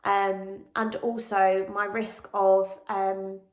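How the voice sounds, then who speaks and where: A woman's voice: mid-range voice, little pitch movement, extremely slow, reverberant. A pregnant English woman in her late twenties, bunged up with a cold and a bit out of breath, chatting frankly in a Q&A vlog with lots of ums. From England. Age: late 20s. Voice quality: bunged-up, nasal. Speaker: vlogger. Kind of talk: casual pregnancy Q&A.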